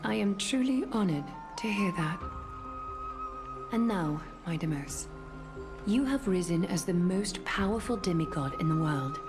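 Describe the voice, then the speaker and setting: A woman's voice: lowish voice, animated, unhurried. An actress playing a gracious, calculating female leader in a video game, with poised, formal delivery over music. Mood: gracious, solemn. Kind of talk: dramatic video game voice acting.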